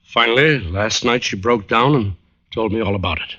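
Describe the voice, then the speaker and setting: Formal wealthy gentleman, muffled radio sound. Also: fairly low pitch. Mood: grave, resolute. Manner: polite, measured, proper. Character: wealthy, formal, determined married gentleman client. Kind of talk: old-time radio drama acting. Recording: muffled vintage radio recording.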